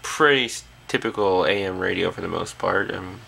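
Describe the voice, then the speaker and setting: A man's voice: deep voice, normal speed, gravelly, sharp tone, slight background noise. A man talking casually and off the cuff as a hobbyist presenter, hesitantly thinking aloud at an indoor workbench on a camera's built-in mic. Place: small indoor workshop at a bench.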